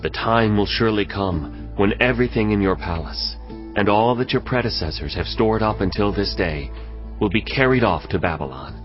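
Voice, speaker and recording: A man playing the prophet Isaiah, declaiming gravely. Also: on the low side, expressive, normal speed, natural voice. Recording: dull lo-fi audio over faint music.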